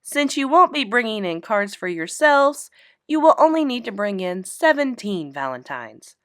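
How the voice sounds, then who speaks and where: A woman's voice: a little high, sing-song, normal speed, no echo, clean studio sound. A woman voicing a patient but easily exasperated female kindergarten teacher from a storybook, firm, explanatory and emphatic.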